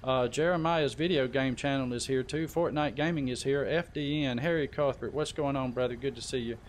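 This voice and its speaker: A man with a mid-pitched voice hosts a gaming livestream. He talks informally and chummily, handing out shout-outs. Faint game ambience and vehicle noise sit behind him. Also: fairly quick. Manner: chummy, informal, addressing viewers by name. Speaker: live stream host.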